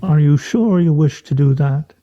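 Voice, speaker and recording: An actor playing a pompous, sardonic husband in a radio play, speaking in a wry, theatrical, mock-formal way. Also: male voice, on the high side, normal speed, mellow, lo-fi sound, natural voice. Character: pompous, sardonic husband at a weekly scrabble game.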